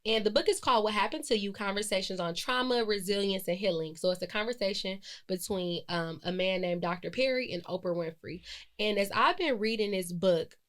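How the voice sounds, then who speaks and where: A young woman in her twenties talks through a solo podcast episode in a loose, reflective style. She rambles a little, corrects herself, and fills pauses with "um" and "like". Her voice sits at an ordinary middle pitch. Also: a bit bright. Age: 20s. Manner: chatty and reflective, with frequent fillers and self-corrections. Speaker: podcast host. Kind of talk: casual solo podcast monologue.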